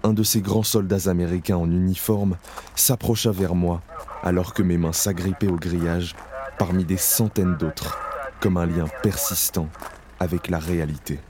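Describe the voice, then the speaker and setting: A man narrating an audio drama in a slow, solemn, measured way, with a low grave voice. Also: steady tone, noisy background. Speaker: narrator playing Isaac, camp prisoner. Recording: clear music bed under voice. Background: music.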